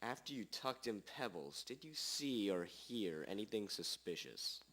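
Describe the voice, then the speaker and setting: A man playing a confident, world-weary famous detective, deadpan and self-important, in a radio drama parody. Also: fairly low pitch, unhurried, exaggerated voice. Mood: inquisitive.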